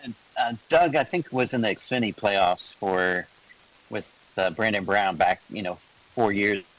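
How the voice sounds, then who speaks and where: A man, a reporter, asking a question at a press conference, halting and searching for words. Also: on the low side, fairly bright tone, poor audio.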